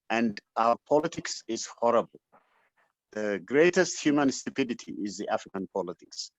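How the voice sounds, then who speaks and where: A man's voice: mid-range voice, dry recording. Emphatic Ethiopian man, dull webinar audio. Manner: emphatic, repetitive, exhortative. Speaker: webinar speaker. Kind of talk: impassioned motivational address, largely unscripted. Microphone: online call microphone.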